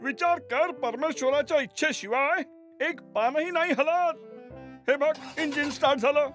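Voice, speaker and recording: Reckless, jolly cartoon hero: high voice, drawn-out bombast, easily fooled glutton. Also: male voice, fairly bright tone, soft background music. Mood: eager. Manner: bombastic, exclamatory. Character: gullible, boastful, food-loving man, jolly and reckless. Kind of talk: exaggerated cartoon dubbing.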